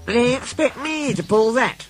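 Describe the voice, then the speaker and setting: A man's voice: high voice, sing-song, moderate pace, over music, voice pushed up. A man voicing a vain, pampered female diesel railcar in a children's story, with an affected, fussy, self-important manner. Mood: indignant, sulky.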